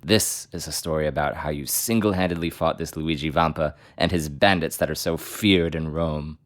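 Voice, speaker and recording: An actor playing a sardonic, unimpressed French gentleman in an audio drama, dry and critical. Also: extremely low pitch, moderate pace.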